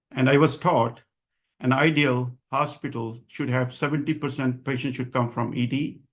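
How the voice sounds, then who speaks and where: Earnest man making a formal, insistent address. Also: medium pitch. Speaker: physician and association president giving a public address. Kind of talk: formal advocacy speech to a board.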